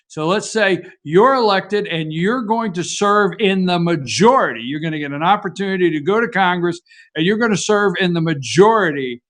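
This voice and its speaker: High-voiced male debate moderator. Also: fairly bright tone. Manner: asks questions directly.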